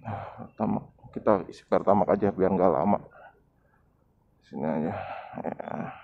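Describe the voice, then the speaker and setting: Low gravelly man, casual motovlogger. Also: normal speed, no room sound. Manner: unscripted running commentary in short phrases. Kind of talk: casual vlog narration while riding. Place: outdoors on a motorbike in town streets. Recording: muffled lo-fi action camera, street noise.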